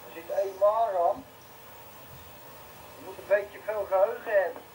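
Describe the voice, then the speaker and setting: A man talks as an amateur radio operator over a radio link. His delivery is halting and matter-of-fact. The sound is distant, with plain noise over it. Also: sits high, darkish tone. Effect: transmitted over amateur radio. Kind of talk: casual technical radio chat.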